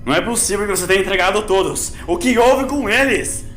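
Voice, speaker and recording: A man's voice: sits high, fast talker, fairly bright tone, echoey room, soft background music, pitched above the performer's own voice. A Brazilian man voicing a menacing, frantic father who barks demanding questions, in an audio drama. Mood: frantic anger. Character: menacing, frantic father shaking his son.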